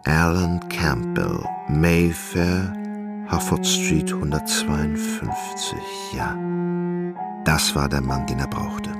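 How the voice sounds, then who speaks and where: A man narrating a German literary radio drama in a measured, solemn manner. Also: extremely low pitch, lively intonation, gravelly. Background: music.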